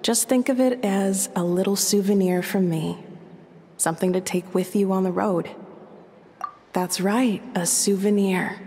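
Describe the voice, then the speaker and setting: Slow, gentle, husky woman in reverb. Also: mid-range voice. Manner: gentle, measured, reflective. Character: gentle, wistful woman giving parting advice, calm and resolute. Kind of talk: scripted video game dialogue. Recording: long reverb over background music.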